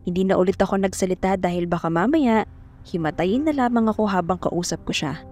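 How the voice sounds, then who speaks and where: A woman's voice: mid-range voice, fairly quick, darkish tone, faint music behind, lightly acted. A woman playing a shy, smitten young woman narrating an audio drama, with a Filipino accent.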